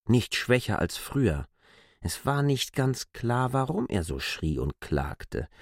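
A man reads literary prose as an expressive storyteller. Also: low-pitched, husky, no room sound, clean studio sound. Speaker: narrator of a literary classic. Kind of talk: scripted literary narration.

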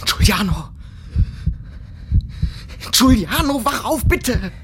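A man's voice: extremely high pitch, very animated, slow, a bit bright, slight background noise, full character voice. An actor playing a frightened young male military cadet, agitated and pleading, in a German radio drama. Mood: urgent, pleading.

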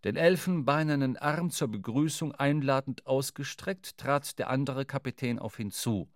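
A man's voice: mid-range voice, normal speed, dry recording, studio quality, natural voice. A man reading scripted literary narration as the storyteller of an audio drama. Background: faint music.

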